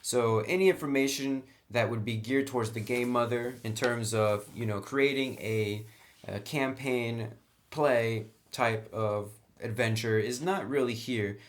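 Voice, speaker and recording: A man's voice: lowish voice, slight background noise. A man doing a casual unboxing review of a tabletop game, talking plainly and often saying "actually," filmed on a tripod camera indoors. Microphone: camera mic on a tripod.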